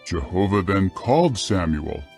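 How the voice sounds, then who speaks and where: A man narrating dramatized scripture in a solemn, measured, clearly phrased manner. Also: deep voice, very animated, darkish tone, faint music behind.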